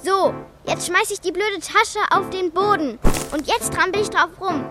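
A high, childlike voice of a small daughter in a children's radio drama. She is blunt, curious and easily indignant, always questioning what she is told. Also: moderate pace, over music. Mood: defiant. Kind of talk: German children's radio play acting.

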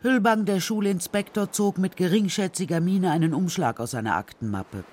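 A man narrating a scripted children's radio drama as storyteller, with a husky voice. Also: high voice, normal speed, darkish tone, a little hiss or hum.

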